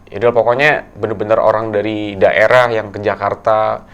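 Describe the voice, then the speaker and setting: Young guy from tegal, low gravelly voice but smooth, halting, lots of ums. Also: little pitch movement. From Tegal, Central Java, Indonesia. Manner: soft-spoken, halting with many fillers. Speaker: guest actor. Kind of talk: casual interview.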